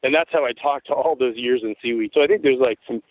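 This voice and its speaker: A man in his forties from Tacoma, Washington, with a rough, worn voice, telling stories in a rambling, laid-back way as a guest on an interview show. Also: mid-range voice, fast talker, no echo. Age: 40s. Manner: rambling, laid-back storytelling with frequent swearing. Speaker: guest musician. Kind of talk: casual interview chat. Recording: tinny phone-like line, dry.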